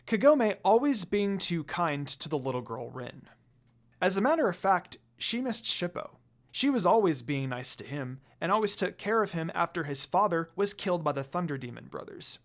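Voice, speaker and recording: Animated storyteller reading fan fiction. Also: medium pitch. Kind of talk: dramatic story narration.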